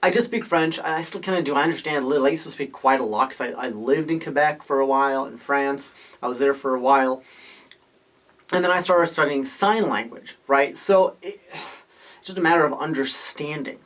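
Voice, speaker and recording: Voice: medium pitch, brisk, fairly bright tone. A podcast host giving a casual, unscripted opinion monologue, rambling and thinking aloud with rhetorical questions. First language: Hungarian or English, multilingual.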